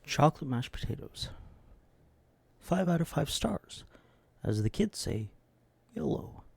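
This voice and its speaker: A man with a low, gravelly, husky voice hosting a deadpan comedic reading of recipes. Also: a little slow, no room sound. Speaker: host reading recipes and comments.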